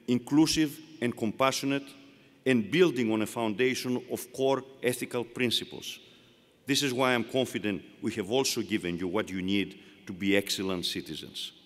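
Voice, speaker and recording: A man with a Greek accent giving a formal commencement speech, delivering measured phrases one at a time from a podium. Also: mid-range voice. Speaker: university leader giving commencement address. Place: large hall. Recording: long reverb of a large hall.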